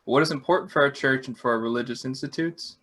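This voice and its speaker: Man reading quotations, measured. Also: mid-range voice, a bit bright.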